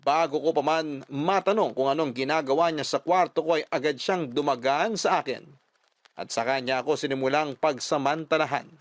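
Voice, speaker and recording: A man's voice: a little high, normal speed, no room sound. A man, a radio drama host, reading a listener's story as dramatic first-person narration.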